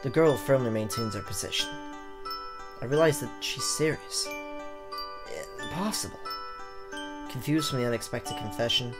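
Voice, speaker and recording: Expressive male let's player over game music. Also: mid-range voice, moderate pace, pauses often. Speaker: let's play host. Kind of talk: reading game text aloud with casual reactions.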